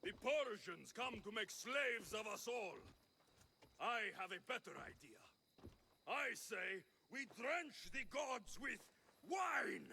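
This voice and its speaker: A man's voice: very high voice, big pitch swings, slow, vocal fry, sharp tone, slight background noise, heavily acted. A man voicing a bold mercenary hero in a game who acts in a stage play with rousing, theatrical lines.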